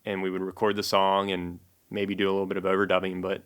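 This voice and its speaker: A man, a guest musician and producer, telling stories in a relaxed, casual interview chat with many fillers. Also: deep voice, fast talker, smooth.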